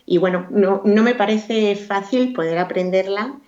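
A woman's voice: medium pitch, a little slow, a little mellow. A woman guest in a podcast interview, answering thoughtfully with many fillers and restarts. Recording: dull lo-fi audio.

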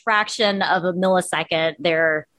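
A woman panelist chatting casually about a TV show, animated and slangy in a conversational way. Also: fairly low pitch, unhurried. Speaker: podcast panelist. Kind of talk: casual fan discussion of a TV show.

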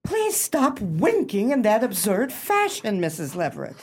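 Radio drama: imperious society hostess played by a woman, precise diction, very lively range. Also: mid-range voice, unhurried, slight background noise. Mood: haughty. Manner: pompous, precise, formal diction. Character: haughty society hostess, bluffing book club leader. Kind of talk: radio play acting.

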